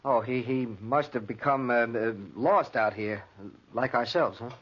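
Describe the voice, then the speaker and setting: An actor in a 1940s radio drama playing a stranded hunter who is polite but wary, speaking in a hesitant, placating way. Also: male voice, mid-range voice, unhurried, slightly rough. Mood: wary, probing. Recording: dull, muffled 1940s radio recording.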